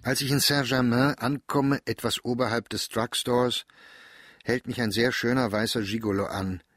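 A man reading a literary diary aloud in a quiet, introspective, measured way, recorded close in a studio. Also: mid-range voice, steady tone, no echo.

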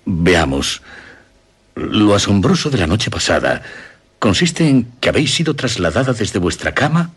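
A man playing a courteous, reassuring older father in a radio drama, speaking formal, deliberate Castilian Spanish. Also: lowish voice, big pitch swings, breathy edge, full character voice. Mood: grave, questioning.

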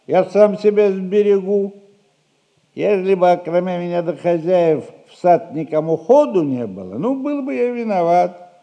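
Tipsy gardener, high mellow voice. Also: male voice, expressive, a little slow. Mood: grumbling, defensive. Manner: evasive, self-righteous grumbling. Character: tipsy, shifty old-time gardener, feigning dignity. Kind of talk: theatrical 1960s audio play.

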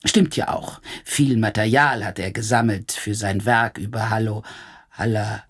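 A woman's voice: extremely low pitch, fairly even intonation, unhurried, slightly rough, exaggerated voice. An actress playing a defiant, secretive woman under police questioning in a German radio drama, guarded and indignant.

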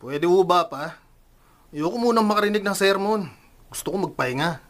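A man's voice: sits high, animated, moderate pace. An actor playing a rebellious, drunk young son in a radio drama, insolent and sarcastic as he brushes people off. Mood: sullen, drowsy.

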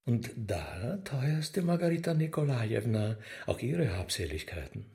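A man's voice: medium pitch, very animated, measured pace, darkish tone. An actor playing a sly, theatrical demon henchman in a radio drama, flippant and mockingly courteous. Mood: sugary.

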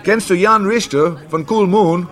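An actor playing a friendly, easygoing pub bartender in a German radio drama, unhurried and slipping in English phrases. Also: male voice, high voice, fairly bright tone. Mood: relaxed, regretful. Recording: bar music and crowd behind.

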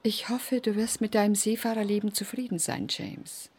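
An actress playing an affected, defensive middle-aged mother with exaggerated dignity and a husky, creaky voice in a radio play. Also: medium pitch, fairly even intonation, normal speed, a little mellow, close to an everyday voice. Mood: stiff, reproachful. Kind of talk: German radio play acting.

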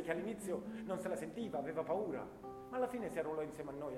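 An actor playing a disillusioned eighteen-year-old volunteer soldier of the First World War in a theatrical, declamatory monologue. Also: male voice, high-pitched. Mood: earnest narration. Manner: impassioned, rhythmic, declamatory, piling up lists. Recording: reverberant radio-theatre sound with guitar music.

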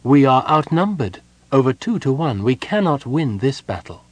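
Male narrator as commanding woman war leader, cool, solemn, fanatical, raised lively pitch, storybook. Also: normal speed, dry recording. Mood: assured.

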